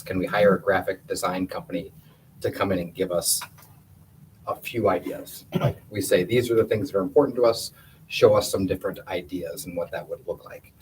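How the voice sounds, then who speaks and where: A man giving a plainspoken, conversational report at a school board meeting in a meeting room. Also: low voice. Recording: distant room mic with faint hiss.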